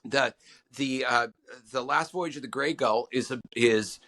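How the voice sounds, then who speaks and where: A man being interviewed as a songwriting guest, talking in halting stops and restarts with many fillers. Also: bright, forceful tone. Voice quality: low voice. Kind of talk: podcast interview answers.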